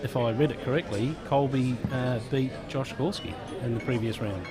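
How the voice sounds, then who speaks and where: A man's voice: mid-range voice, moderate pace, over music, rough recording. A man with an Australian accent co-commentating pool, casual and dry, with joking asides. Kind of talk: relaxed live commentary.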